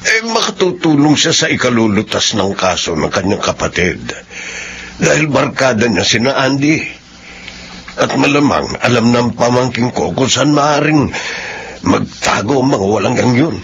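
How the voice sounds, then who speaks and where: A man's voice: medium pitch, animated, moderate pace, a bit bright. A man playing a troubled, serious middle-aged uncle in a radio drama. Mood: worried. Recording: dull radio audio, faint noise.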